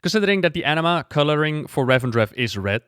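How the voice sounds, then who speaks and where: A man giving casual, excited and conversational reaction commentary as a gaming lore creator. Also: a little high, quick pace, clean tone, no room sound, studio quality.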